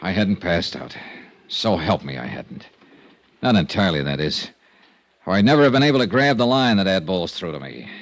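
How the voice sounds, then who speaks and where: A man's voice: low-pitched, very animated, normal speed. An actor playing a dogged, wry insurance investigator who narrates his own case in a clipped, hardboiled 1950s radio style. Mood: tense narration. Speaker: narrator and lead detective. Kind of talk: 1950s radio detective drama acting, first-person narration. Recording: vintage radio recording with music behind.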